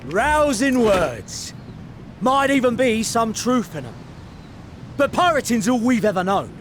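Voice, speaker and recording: An actor playing a defiant pirate with rough, blunt speech in a game cutscene. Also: male voice, extremely high pitch, big pitch swings, a little slow, soft background music, heavily acted. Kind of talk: video game cutscene acting.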